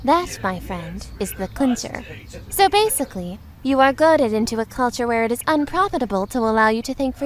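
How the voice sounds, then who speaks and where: Woman acting as a mysterious teenage girl, high voice, wise, solemn, measured, audio drama, noisy ambient background. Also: natural voice. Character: mysterious sage-like thirteen-year-old telepath girl.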